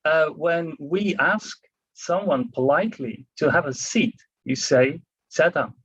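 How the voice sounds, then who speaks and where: A man with a Dutch accent speaks at a public book event, working through his points with frequent pauses and restarts. His voice sits fairly high and sounds husky. The recording is muffled and dry. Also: fairly bright tone, no echo. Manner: hesitant, many fillers and restarts. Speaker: panel speaker and author.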